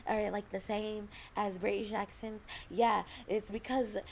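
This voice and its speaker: A woman's voice: mid-range voice, a little mellow. A young adult woman from Alabama chatting casually on a livestream, giggling often and rambling between thoughts. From Alabama, US South. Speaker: streamer.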